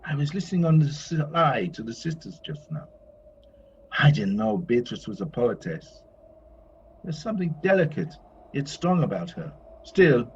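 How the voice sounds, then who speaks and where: Guy doing whimsical arty painter man, theatrical n musing, lively up and down, muffled audio w some noise. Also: medium pitch. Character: whimsical, courtly male painter, moody yet carefree dreamer. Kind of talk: audio play acting. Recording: dull, slightly noisy recording.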